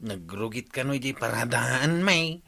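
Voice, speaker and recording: Bright-voiced grumbling tricycle driver. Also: male voice, mid-range voice, expressive, a little slow, creaky, full character voice. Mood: complaining. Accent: Ilocano. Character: complaining tricycle driver of a small village. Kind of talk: Ilocano radio comedy drama acting.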